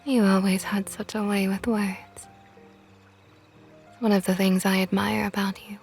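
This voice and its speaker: A woman voicing a possessive, flirtatious female vampire knight in an ASMR roleplay, talking softly and often in a whisper with a teasing tone. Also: mid-range voice, level tone, normal speed, gravelly, soft background music, close to an everyday voice. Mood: probing intimate.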